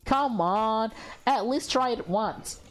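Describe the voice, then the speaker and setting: Woman playing a vain young game heroine, bossy, teasing, dramatic, slow delivery, music behind. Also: fairly high pitch, pitched above the performer's own voice.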